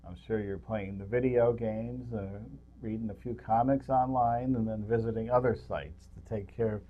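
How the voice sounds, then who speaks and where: A middle-aged man co-hosting a movie review show, chatting casually about films in halting, rambling explanations. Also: lowish voice, steady tone, narrow, tinny audio.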